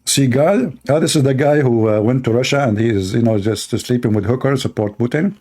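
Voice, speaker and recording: A man's voice: medium pitch, brisk, darkish tone. A man hosting a livestream debate, talking in a sarcastic, mocking, rambling way with lots of fillers.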